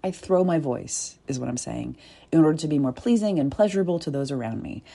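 A woman with a deep, soft voice speaking in a reflective, deliberate way, with pauses and whispered asides. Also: lively intonation, gravelly, slightly rough. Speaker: body-work coach. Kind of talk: reflective spoken essay.

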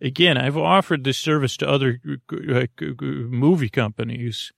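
A man hosting a bedtime podcast in a meandering, hesitant monologue full of stammered false starts and tangents, with a gravelly voice. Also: mid-range voice, normal speed, dry recording, clean studio sound. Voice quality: gravelly, creaky. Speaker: podcast host.

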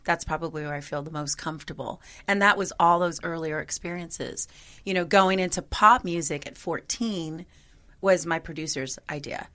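Low gravelly woman, chatty. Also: normal speed, bright, forceful tone. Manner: chatty, frequent fillers like "you know". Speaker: singer being interviewed. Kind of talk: conversational interview.

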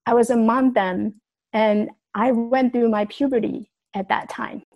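A woman's voice: a little high, steady tone, a little slow, darkish tone, lo-fi sound. Woman from Taiwan telling her story. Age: adult. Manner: earnest, reflective, with small grammar slips. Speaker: speaker sharing her life story. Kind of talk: personal storytelling talk.

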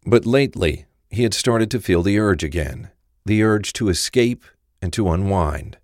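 Low, dry male narrator. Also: expressive, normal speed, a little mellow, no echo. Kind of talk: scripted audio drama narration.

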